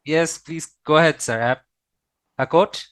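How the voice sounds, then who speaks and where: A man's voice: fairly high pitch, unhurried, fairly bright tone. A man moderating an online course session on a video call, politely taking questions with frequent hesitant fillers. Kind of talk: hosting an online Q&A.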